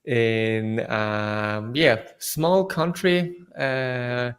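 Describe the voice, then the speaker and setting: A young man from South Tyrol whose first language is German, speaking English with a German accent as an interview guest, halting with fillers and restarts. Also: medium pitch, steady tone. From northern Italy, South Tyrol. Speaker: guest entrepreneur. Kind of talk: casual interview answers.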